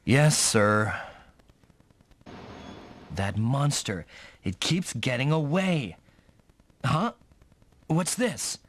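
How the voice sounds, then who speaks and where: An actor playing a young, headstrong rookie mech pilot in an anime-style video game, speaking dramatically and often thinking aloud. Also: male voice, medium pitch, lively intonation, extremely slow, pauses often, noisy background. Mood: puzzled, wary.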